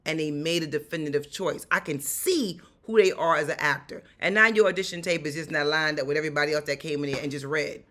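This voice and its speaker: Woman, 40s, New York accent, raspy and bright-toned; animated acting coach speaking straight to camera. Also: low-pitched, quick pace, few pauses. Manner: animated, emphatic, repeats key points. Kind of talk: direct-to-camera acting advice. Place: small room at home.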